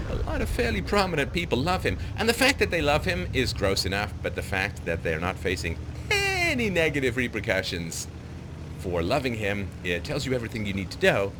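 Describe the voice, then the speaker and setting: A man delivers a sarcastic monologue in a dry, mocking way. His pitch swings widely as he talks. Clear game sounds and engine noise run underneath his voice. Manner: sardonic, mocking quotes in exaggerated high voices. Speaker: commentator. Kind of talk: sarcastic political monologue over gameplay.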